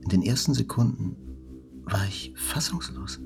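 An actor playing a wounded journalist who recalls an attack in a measured, reflective, scripted narration. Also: male voice, on the low side, expressive, vocal fry. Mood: sober, reflective. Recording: prominent music under the narration.

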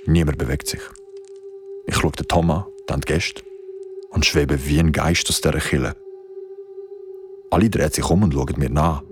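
A deep Swiss male voice tells a dreadful story as someone still shaken by it. It is radio-play narration, with dramatic music behind the words. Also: fairly even intonation, moderate pace, long pauses. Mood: tense, hushed. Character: shaken young city man recounting a horror wedding. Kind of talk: audio drama, first-person storytelling.

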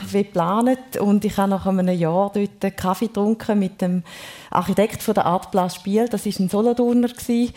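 A woman's voice: medium pitch, warm tone. A woman in her forties with a Thurgau Swiss German accent, telling stories as a guest in a chatty way. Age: 40s. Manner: chatty storyteller.

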